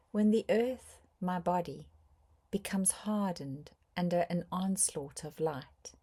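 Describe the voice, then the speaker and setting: A woman reading a scripted guided meditation in a soft, breathy voice, with gentle, measured phrasing and frequent pauses. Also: on the low side.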